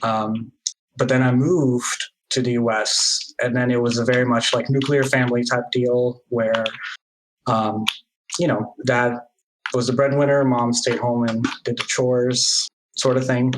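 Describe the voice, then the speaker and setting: Man from Brazil, mid-pitch, laid-back, hesitant answers, noisy background on the stream. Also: measured pace. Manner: relaxed, hesitant, many fillers. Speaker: interview guest. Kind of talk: casual conversational answers.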